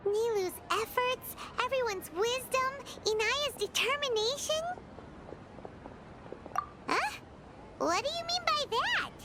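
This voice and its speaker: A woman voicing a tiny, chirpy, opinionated fairy companion in a video game, with a squeaky childlike voice and an expressive manner. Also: slow pace, long pauses, heavy background noise, full character voice. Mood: pondering, indignant. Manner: expressive, refers to self in third person. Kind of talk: scripted video game dialogue. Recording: music behind the voice. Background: loud background music.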